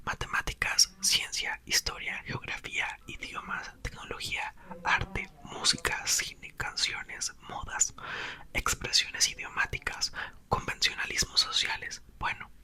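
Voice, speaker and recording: A man voicing a gentle, hesitant mother who is also a scientist, explaining softly in an ASMR whisper. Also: very high-pitched, huge pitch swings, measured pace, exaggerated voice. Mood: tender.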